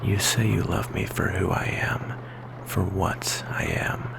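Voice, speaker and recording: Man as a low, whispering, melancholy vampire boyfriend. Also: level tone, full character voice. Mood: wistful, apologetic. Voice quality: whispery, gravelly. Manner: soft, hesitant, intimate, slow with pauses. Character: melancholy, insecure, devoted young male vampire boyfriend. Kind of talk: ASMR roleplay.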